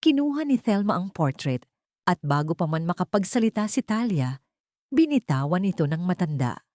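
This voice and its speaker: A woman's voice: on the low side, sing-song, normal speed, a little mellow, no echo, clean studio sound. A woman narrator reading a scripted, dramatic story.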